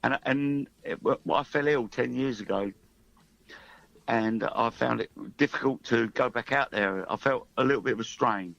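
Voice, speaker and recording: A man in his fifties chatting as a musician guest on a radio show, halting and anecdotal, self-deprecating. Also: mid-range voice, creaky, a bit bright, muffled recording. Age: 50s. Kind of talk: casual radio interview chat.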